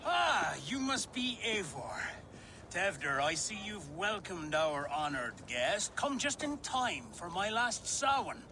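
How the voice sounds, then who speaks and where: A man's voice: sits high, very animated, slow pace, sharp tone, a little hiss or hum, heavily acted. An actor playing a mischievous, jovial old village elderman and harvest king in a video game, grandiose and teasing. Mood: merry, teasing. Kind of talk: video game cutscene acting.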